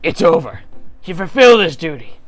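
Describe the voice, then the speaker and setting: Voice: medium pitch, sing-song, brisk, over music, close to an everyday voice. An amateur reader voicing a stern, commanding warrior in a fantasy drama reading, curt and firm. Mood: stern, resolute. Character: stern commanding warrior ordering a princess onward.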